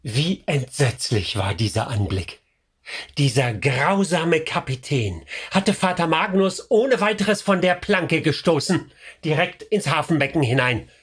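An actor playing a troubled medieval youth, narrating gravely and dramatically in a radio play. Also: male voice, a little high.

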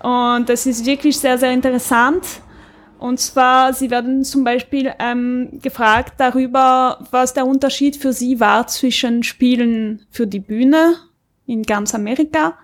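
A woman's voice: a little high, fairly even intonation, takes it slowly. A woman talks as a podcast panelist. She chats casually through a review, in an informal and conversational way.

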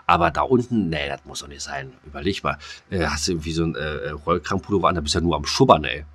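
A man's voice: rumbling low, fast, fairly bright tone. A man co-hosting a podcast, chatting casually in a rambling way with lots of fillers, restarts and crude slang. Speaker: podcast co-host. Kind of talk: casual chat.

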